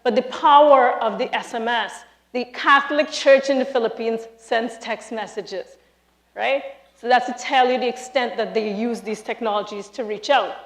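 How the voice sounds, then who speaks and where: A woman's voice: a little high, normal speed. Trinidadian woman giving an echoey stage talk. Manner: lively, emphatic, addresses audience directly. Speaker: speaker, university lecturer. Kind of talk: live conference talk. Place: large hall, live audience.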